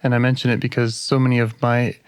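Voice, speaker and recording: A man leading a live therapy session over a call, gentle and calm, pausing to reflect as he speaks. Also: medium pitch, clear, resonant tone, dry recording, clean studio sound. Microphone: call audio.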